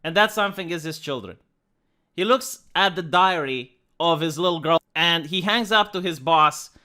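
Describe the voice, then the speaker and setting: A man's voice: a little high, moderate pace, pushed and bright. A man with a Romanian accent giving an earnest, emphatic commentary monologue, often restarting words and saying "like". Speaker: online commentator.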